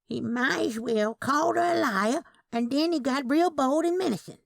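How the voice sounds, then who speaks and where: A woman's voice: high voice, normal speed, gravelly, no echo, clean studio sound, voice pushed up. A woman voicing a tiny, scornful twin aunt in her eighties, blunt and agitated, in a Southern Gothic story. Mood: agitated, indignant. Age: 80s.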